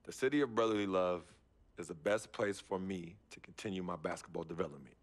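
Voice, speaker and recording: A young actor playing an easygoing high school basketball star who talks in street slang in a sports game cutscene. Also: male voice, fairly quick, close to an everyday voice. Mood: speech. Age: young adult.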